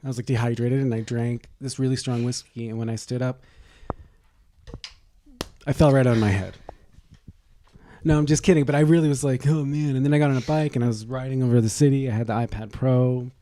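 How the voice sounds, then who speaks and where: A man's voice: medium pitch, steady tone, brisk, long pauses, warm tone, a little hiss or hum. A man co-hosting a podcast, bantering casually with an irreverent, gossipy, joking manner. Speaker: podcast co-host.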